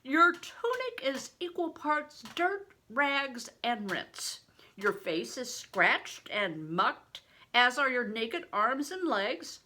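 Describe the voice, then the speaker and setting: Woman playing big blustering storybook man, loud, swooping delivery, archaic speech, shrewd, theatrical. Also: sits high, very animated, takes it slowly, voice pushed up, heavily acted. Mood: scornful, loud. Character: huge, blustering, shrewd red-bearded wandering man in medieval England.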